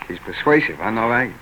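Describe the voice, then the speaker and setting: A smug, sardonic man from 1940s radio noir. His voice sits on the low side and moves with lively, expressive inflection. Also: slight background noise, exaggerated voice, poor audio. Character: smug, sardonic male companion of a femme fatale.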